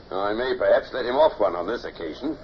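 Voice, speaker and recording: A man's voice: lowish voice, expressive, measured pace. A coldly menacing naval captain from an old radio play. He questions people imperiously and sardonically, with sharply swinging intonation. The sound is dull, with some hiss. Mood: menacing, sardonic. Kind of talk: period radio drama acting.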